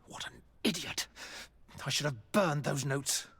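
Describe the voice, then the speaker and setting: An actor playing an evasive, formal army doctor in a video game, hesitant and deferential. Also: male voice, a little high, slow, fairly bright tone, breathy edge, heavily acted. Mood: anxious, confessing. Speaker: military doctor. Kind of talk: dramatic video game acting.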